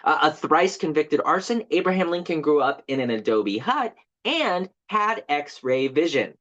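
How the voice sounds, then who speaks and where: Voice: mid-range voice, big pitch swings, unhurried, fairly bright tone, muffled recording. An actor playing a bitter, just-fired male park tour guide in a dramatic monologue, chatty and passive-aggressive as he delivers a mock-informative tour speech.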